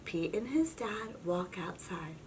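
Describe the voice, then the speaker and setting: A woman reading a children's storybook aloud in an expressive, suspenseful narrating style. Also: fairly low pitch, slow, distant mic, heavy background noise. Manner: expressive, suspenseful, with whispers and exclamations. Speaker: storybook reader.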